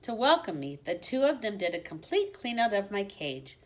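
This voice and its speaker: A woman's voice: medium pitch, normal speed. Woman reading a children's story expressively. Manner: dramatic emphasis on exciting moments. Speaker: teacher reading a children's book aloud.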